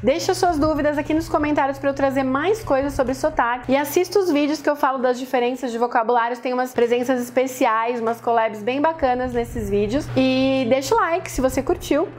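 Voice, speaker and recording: A woman in her thirties from Brazil, an English teacher giving a casual video lesson to camera with a lapel mic in a small room, talking lively and gesturing a lot. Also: high-pitched, brisk, few pauses. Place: small indoor room with posters on shelves.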